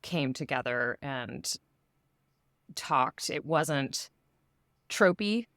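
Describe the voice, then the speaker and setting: A woman, an actress, chatting casually in an interview in measured phrases with pauses between them. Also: rumbling low, animated, a bit bright, dry recording, studio quality.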